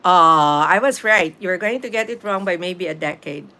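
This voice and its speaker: A woman's voice: on the low side, moderate pace, sharp tone. A Filipino-accented woman in her late fifties, chatting casually with viewers on a live stream, laughing easily and answering the chat. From Philippines. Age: 50s.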